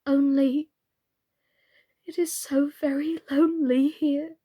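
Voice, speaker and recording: A woman's voice: high-pitched, level tone, slow, warm tone, voice pushed up. A woman voicing an earnest, curious seven-year-old girl in a storybook, with a polite, questioning manner. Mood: melancholy.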